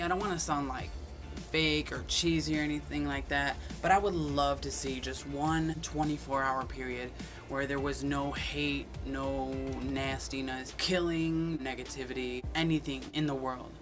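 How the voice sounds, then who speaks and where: A young man in his twenties chatting casually to camera, reading questions aloud and answering them, recorded on a phone. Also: medium pitch. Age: 20s. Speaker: vlogger.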